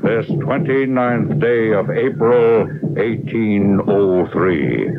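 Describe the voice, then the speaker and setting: Stately educated chief, formal, deliberate, shrewd, low voice, thin phone-like radio drama sound. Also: male voice. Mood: solemn, proclaiming. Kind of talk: old-time radio drama acting. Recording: tinny narrow-band radio recording with music.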